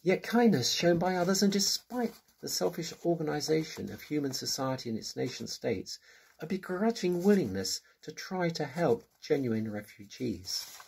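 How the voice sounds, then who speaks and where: An older man reading his prepared book review in a measured, earnest way, recorded on a close-up webcam in a small room at home. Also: fairly high pitch, lively intonation, creaky, a little mellow, husky, rough recording.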